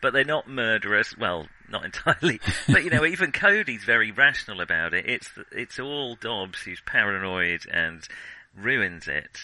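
A man's voice: fairly low pitch, lively intonation, moderate pace, sharp tone, rough recording. A man co-hosting a film podcast, talking casually and hesitantly as he corrects himself.

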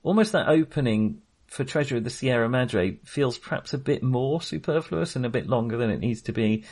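A man's voice: mid-range voice, lively intonation, brisk, a little mellow. A man co-hosting a film podcast, talking casually in a tentative, self-qualifying, agreeable way.

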